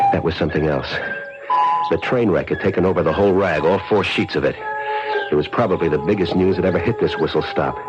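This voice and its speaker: Low-voiced scheming radio-drama crook. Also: male voice. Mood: world-weary. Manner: hard-boiled, slangy, insistent. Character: scheming, fast-talking crook faking his death. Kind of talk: 1970s radio drama acting, with first-person narration. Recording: muffled 1970s radio broadcast, music underneath.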